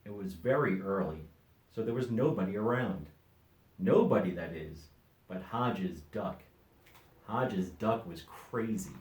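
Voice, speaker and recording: A middle-aged man reading a children's storybook aloud as an expressive narrator. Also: fairly low pitch, measured pace, reverberant. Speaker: volunteer story reader.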